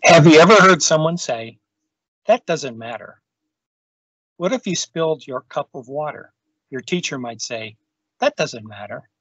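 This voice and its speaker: A man's voice: fairly high pitch, fairly bright tone, slightly rough, no echo. A man reading a children's science book aloud over a video call. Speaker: storytime reader. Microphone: video call microphone. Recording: dull, lo-fi video call audio.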